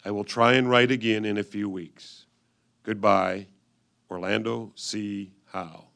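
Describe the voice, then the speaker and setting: Gravelly-voiced man reading historical letters. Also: on the low side, steady tone, measured pace, fairly bright tone. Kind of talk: reader's theatre reading of old letters.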